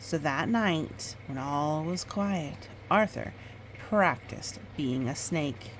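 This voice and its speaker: A woman reading a picture book aloud in storytime style, with expressive, drawn-out dramatic phrasing and chatty asides. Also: low-pitched, a little hiss or hum, low-quality recording.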